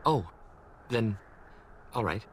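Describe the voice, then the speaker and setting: A meek messenger servant in a fantasy video game. He is nervous and apologetic, hesitating as he speaks. The voice is on the low side. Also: lively intonation, takes it slowly, slight background noise. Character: nervous, timid male servant running army errands.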